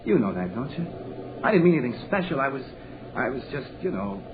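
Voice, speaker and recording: Male actor as earnest lovesick young American, halting and pleading, highly animated pitch, vintage radio play with clear hiss and noise. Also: natural voice. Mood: sheepish, apologetic. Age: young adult. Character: earnest, desperate lovesick young man with a bad leg. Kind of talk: 1940s radio drama acting. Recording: noisy, tinny 1940s radio broadcast.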